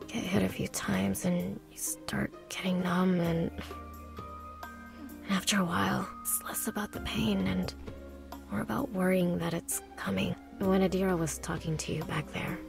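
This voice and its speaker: Guarded teenage girl, sardonic, blunt, kind underneath, fry-edged voice, visual novel game. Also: on the low side, steady tone, a little slow, in a whisper, over music. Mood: subdued.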